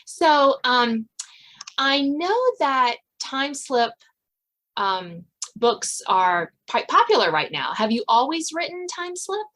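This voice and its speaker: A woman hosting a casual interview podcast, feeling her way through what she says in a halting, rambling manner. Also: sits high, big pitch swings, fairly bright tone. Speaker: podcast host.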